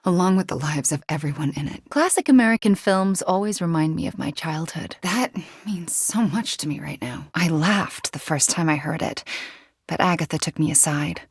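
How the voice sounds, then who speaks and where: A young superheroine sorceress for a video game. Her voice sits a little low and is soft and breathy. She sounds intimate and earnest, haunted yet wry, recorded very dry. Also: moderate pace, dry recording. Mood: somber, reflective.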